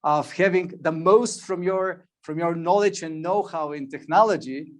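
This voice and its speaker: A man's voice: fairly high pitch, normal speed. A man hosting a virtual interview, speaking hesitantly with many fillers. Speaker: interviewer, host.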